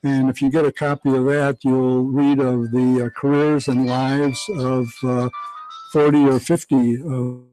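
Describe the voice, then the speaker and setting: A man's voice: medium pitch, little pitch movement, measured pace, dark tone, soft background music, poor audio. An older man, a radio historian, explaining things as a studio guest. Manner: explanatory, lecturing. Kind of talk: interview guest. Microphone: studio microphone.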